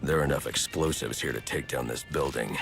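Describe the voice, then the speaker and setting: An actor playing a grim, commanding masked vigilante in a video game, speaking in clipped, stern, deadpan lines. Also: male voice, extremely low pitch, brisk, gravelly, music in the background. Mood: businesslike. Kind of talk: video game hero acting.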